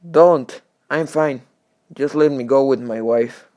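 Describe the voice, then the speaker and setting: A man's voice: mid-range voice, slow pace, natural voice. A man playing a troubled, delusional widower who tells his gothic tale in literary wording for a radio drama. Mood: agitated, defiant. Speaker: lead actor and narrator. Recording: music under the voice. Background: loud music.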